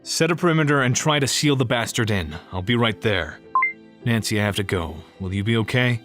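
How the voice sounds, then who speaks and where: A man's voice: medium pitch, animated, fairly quick, distant mic, over music, close to an everyday voice. A man playing a dogged, hard-boiled police inspector and protective uncle in an audio crime drama, with a gritty, terse delivery. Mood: concerned, firm. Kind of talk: audio crime drama acting with noir first-person narration.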